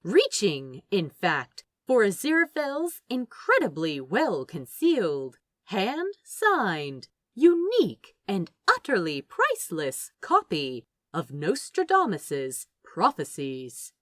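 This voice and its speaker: A woman's voice: medium pitch, wildly swooping pitch, slow pace, hardly any pauses, no room sound, studio quality. A woman reading a story aloud as a scripted narrator. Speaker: audiobook-style narrator of fan fiction.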